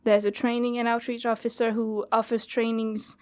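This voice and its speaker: A woman's voice: fairly high pitch, flat delivery, normal speed, a little mellow. A French woman in her twenties, chatting casually as an interview guest, with frequent laughs and fillers. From France. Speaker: guest, youth radio studio coordinator.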